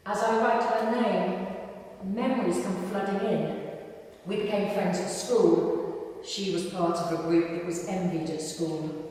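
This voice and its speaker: A woman reading a written memoir aloud to an audience with deliberate, measured delivery. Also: on the low side, a little mellow. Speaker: reader at a live spoken-word event. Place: large echoing gym hall. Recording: very echoey hall, distant, faint noise.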